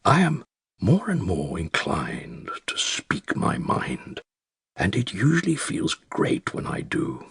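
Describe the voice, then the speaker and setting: An actor playing an elderly male care-home resident with a British accent, narrating his secret diary in a dry, wry, measured and articulate way with a gravelly, husky voice. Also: sits low, huge pitch swings, a bit bright, no echo, in a whisper, exaggerated voice. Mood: quietly determined. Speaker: audiobook narrator. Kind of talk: scripted first-person diary narration.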